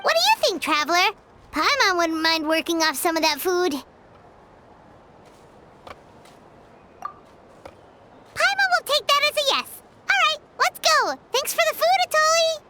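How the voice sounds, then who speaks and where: A woman voicing a tiny, childlike, bubbly companion creature in a video game, squeaky, chirpy and expressive. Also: fairly quick, heavy background noise. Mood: cheerful. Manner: chirpy, expressive, refers to self by name. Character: tiny childlike floating companion, bubbly, food-loving, third-person talker. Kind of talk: English video game voice acting.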